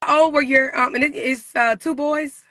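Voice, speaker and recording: A woman interviewer with a high, bright voice speaks in an informal, conversational way. Her questions come in casual, slangy phrasing, as in a relaxed chat. The sound is dry, with very little room in it. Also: moderate pace, no room sound. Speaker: podcast-style interview host.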